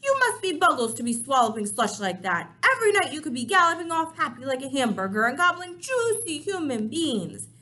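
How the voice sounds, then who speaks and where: A woman's voice: fairly high pitch, very animated, measured pace, hardly any pauses. Lady doing a big greedy storybook giant, bellowing and swooping all over. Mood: angry bellowing. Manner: roaring, shouting, mangled words. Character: huge brutish bellowing male giant, greedy.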